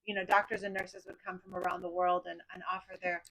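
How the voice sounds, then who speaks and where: A woman's voice: on the low side, level tone, quick pace, fairly bright tone, low-quality recording. A woman speaking as a podcast host, introducing and discussing things in a conversational interview style.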